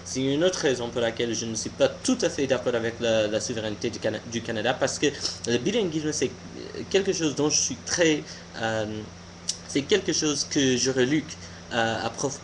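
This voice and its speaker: A young American man, a native English speaker, giving an informal opinion monologue haltingly, searching for words. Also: mid-range voice, animated, slight background noise, rough recording. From United States. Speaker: video blogger.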